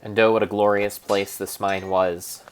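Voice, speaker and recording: Guy with a real low voice doing over-the-top dramatic narration of a game, slight hiss and clicks. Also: flat delivery. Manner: solemn, theatrical, with pauses and small slips. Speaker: game streamer narrating play. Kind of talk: mock-dramatic storybook narration over gameplay.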